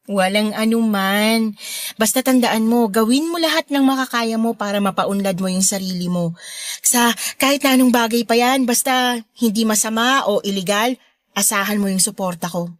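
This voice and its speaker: Casting: woman as caring Filipino elder sister for radio drama, lightly high voice, affectionate and encouraging, dry studio sound. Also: normal speed, no room sound, close to an everyday voice. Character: supportive, warm older sister, married Filipino woman. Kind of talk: Tagalog radio drama acting.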